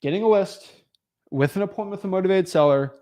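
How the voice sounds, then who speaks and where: Emphatic man with a higher voice. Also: fast talker, dark tone. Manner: emphatic, repeats key phrases, many "like" fillers. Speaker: host of a real estate livestream. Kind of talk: motivational livestream talk.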